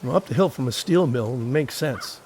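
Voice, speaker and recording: A man's voice: mid-range voice, expressive, moderate pace, darkish tone, a little hiss or hum, lightly acted. A man acting in an audio drama as a breezy, broke beach sketch artist with wry, casual banter. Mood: bemused. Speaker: actor.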